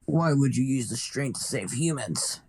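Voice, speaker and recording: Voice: mid-range voice, animated, normal speed, a little mellow, slightly rough. A performer in a live amateur anime fandub voicing a haughty, scornful devil in a pompous, declaiming manner. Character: haughty, scornful devil demanding blood and humans.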